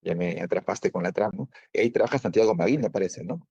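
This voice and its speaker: A man from Peru hosting an interview, asking questions in a measured, affirming way. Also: low-pitched, animated, creaky, warm tone, slightly rough, no echo. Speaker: interviewer host. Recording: dull, dry sound.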